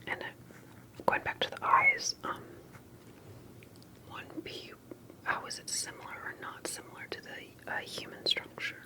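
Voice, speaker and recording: A woman speaking in a soft, breathy whisper, gently and carefully asking questions in an ASMR interview roleplay. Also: unhurried, long pauses, poor audio.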